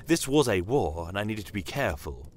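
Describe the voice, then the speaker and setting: A man voicing a shrewd, bitter non-human desert commander in a dramatic audio story, speaking in a measured, theatrical and courteously menacing way. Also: fairly low pitch, sing-song. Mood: measured storytelling. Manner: measured, theatrical, pointed rhetorical questions. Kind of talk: dramatic first-person audio story narration. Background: battle aftermath ambience.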